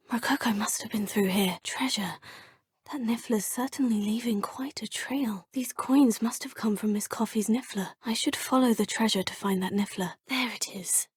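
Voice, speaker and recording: A woman's voice: slightly rough, dry recording, clean studio sound. A polite witch student. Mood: whispered asides. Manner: polite, composed, often musing in low asides. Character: curious, polite young witch student, female. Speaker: player character, a young witch student. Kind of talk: video game voice lines. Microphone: close, dry studio mic.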